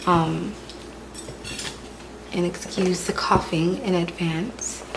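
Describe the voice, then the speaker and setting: Hoarse, stuffy woman reading tarot. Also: fairly low pitch, slow, background noise. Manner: calm, repetitive, soft-spoken delivery. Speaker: tarot reader.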